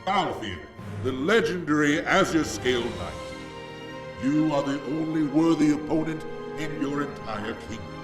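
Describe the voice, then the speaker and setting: Casting: monstrous giant warlord mage, grandiose and menacing, distant echoing voice, music underneath. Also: male voice, a little high, lively intonation, measured pace. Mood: grandly menacing. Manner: formal, grandiose address. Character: giant mutated male enemy mage knight, formally menacing. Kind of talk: audio drama acting. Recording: roomy echo, distant. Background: loud background music.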